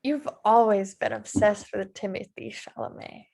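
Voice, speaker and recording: A woman's voice: mid-range voice, normal speed, gravelly, darkish tone. An actress at a table read playing a sly, sugary young woman who mocks her friend. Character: young woman Megan, sly and mocking cousin.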